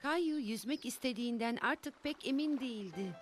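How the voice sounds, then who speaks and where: A gentle female storyteller for a children's cartoon, voiced by a woman. Her voice sits a little high as she narrates for preschoolers, with music underneath. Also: lively intonation, moderate pace, lightly acted. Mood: gentle, storytelling.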